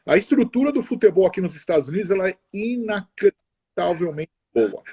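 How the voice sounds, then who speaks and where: Middle-aged guy, slightly high warm voice, rambles and restarts a lot, video call. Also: lively intonation, husky. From Brazil. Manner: chatty, halting, many restarts and tag questions. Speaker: interview guest. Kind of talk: casual conversational interview.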